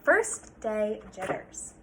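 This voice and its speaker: A woman's voice: medium pitch, very animated, very slow, noisy background. A woman teacher reading a picture book aloud to children, explaining things and prompting them with questions.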